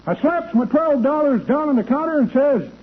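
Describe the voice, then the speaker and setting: High-pitched old codger, folksy. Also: male voice, animated, normal speed. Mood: cheerful, boastful. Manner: folksy ungrammatical rambling. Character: cheerful old codger spinning tall tales. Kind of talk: 1940s-50s radio comedy acting. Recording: tinny noisy old radio recording.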